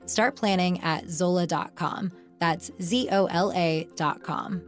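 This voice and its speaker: A woman's voice: on the low side, slow pace. A woman doing a conversational, scripted advertisement voice-over. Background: music.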